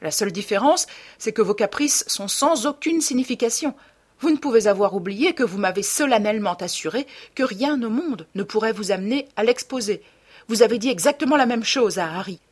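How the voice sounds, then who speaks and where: Young man played by a woman, husky, pitched up, imperious, agitated, insistent, audiobook character. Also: expressive, a little fast. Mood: accusing. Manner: insistent, emphatic protests.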